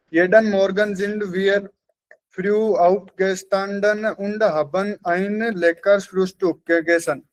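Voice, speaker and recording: A man's voice: high voice, rough recording. A man, a language student, carefully giving a prepared class presentation and stumbling on longer words.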